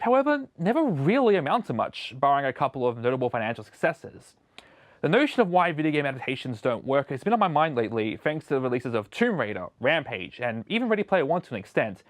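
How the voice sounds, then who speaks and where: A man's voice: a little high, no room sound. A man presenting a scripted opinion video essay as a show host, recorded as a close voice-over.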